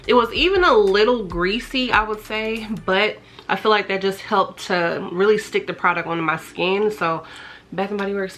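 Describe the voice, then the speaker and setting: A woman in her thirties, a little hoarse and congested from a cold, chatting on camera as she reviews body creams, filmed in a small bedroom on a compact camera's built-in mic. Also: mid-range voice, fairly bright tone. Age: 30s. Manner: chatty, enthusiastic about scents. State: congested and slightly raspy from a cold. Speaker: beauty and fragrance vlogger. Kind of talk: casual product review to camera. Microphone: compact camera's built-in mic at close range.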